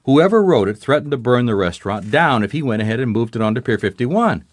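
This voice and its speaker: A man's voice: medium pitch, expressive, fast talker, dry recording, close to an everyday voice. An actor playing a world-weary male private detective in a noir radio drama, dry and probing. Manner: dry, probing, hesitant coaxing.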